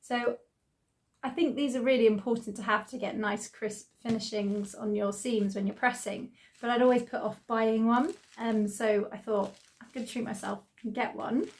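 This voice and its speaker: A middle-aged woman with a British accent, her voice croaky as she recovers from laryngitis. She chats in a friendly, excited way with plenty of ums, pitched a little high for a woman. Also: measured pace. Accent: British English. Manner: chatty, excited, many ums. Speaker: sewing channel host. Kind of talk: casual vlog chat.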